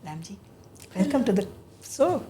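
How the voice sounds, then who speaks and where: A woman's voice: mid-range voice, very animated, unhurried, mellow, a little hiss or hum. A woman hosting an interview podcast, speaking earnestly and often restarting her phrases. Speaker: podcast host. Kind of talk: interview chat.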